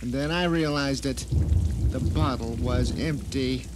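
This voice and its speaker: A man performing a bitter, vindictive captive who narrates his own ordeal in a melodramatic, sardonic audio drama monologue with deliberate phrasing. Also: mid-range voice, background noise, natural voice. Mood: baffled, angry.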